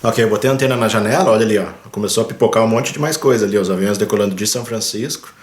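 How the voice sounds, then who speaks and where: A man, a pilot who hosts aviation videos, explaining things in a casual, chatty way from home, often tagging sentences with quick confirming fillers and pointing things out on a screen. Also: on the low side, roomy sound, noisy background. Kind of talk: casual explanatory vlog talk. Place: at home, indoors.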